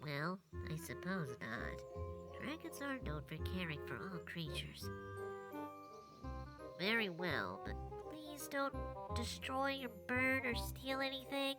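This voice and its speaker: A woman's voice: mid-range voice, big pitch swings, takes it slowly, over loud music. A woman voicing a small male goblin watchman in an audio roleplay, proud, fretful and given to formal proclamations. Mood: solemn.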